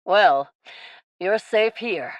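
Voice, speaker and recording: A woman's voice: medium pitch, expressive, drawn-out words, no room sound, highs cut off. A woman playing a falsely kind, scheming captain in a radio play, with a sugary, insincere manner. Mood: falsely sympathetic.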